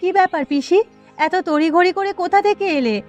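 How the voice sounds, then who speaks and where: A woman plays a village mother in a cartoon fairy tale. Her voice is high, caring and practical. Light music runs beneath it. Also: fairly quick, a little mellow. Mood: caring, brisk.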